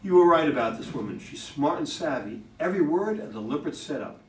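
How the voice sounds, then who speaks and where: A man's voice: on the high side, lively intonation, fast talker, lightly acted. A man playing a blunt, pragmatic political campaign manager in a play reading, emphatic and urging. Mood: persuasive. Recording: echoey room, distant, noisy.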